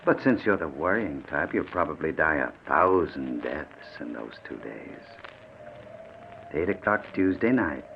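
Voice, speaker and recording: A man's voice: rumbling low, lively intonation, unhurried, creaky, heavily acted. An actor playing a menacing, vengeful gunman in 1940s radio drama, coldly taunting with sinister, mocking politeness. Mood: menacing, gloating. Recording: muffled, noisy 1940s radio recording.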